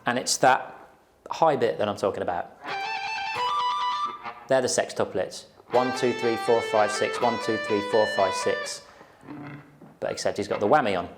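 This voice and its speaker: A man's voice: on the low side, fairly even intonation, normal speed, long pauses. A young man giving a casual guitar lesson in a small indoor studio, explaining step by step on a lapel mic and counting beats aloud. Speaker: guitar teacher. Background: electric guitar playing.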